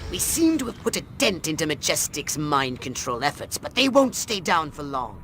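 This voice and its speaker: A man's voice: fairly high pitch, very animated, moderate pace, music in the background. A man voicing a bossy, scornful alien mastermind with precise, imperious delivery in a video game. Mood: scheming. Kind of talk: video game cutscene acting.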